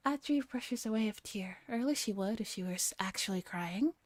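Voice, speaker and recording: A soft-spoken woman streamer reading a visual novel, with gentle, teasing casual asides. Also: on the high side, expressive, unhurried, no echo. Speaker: vtuber streamer.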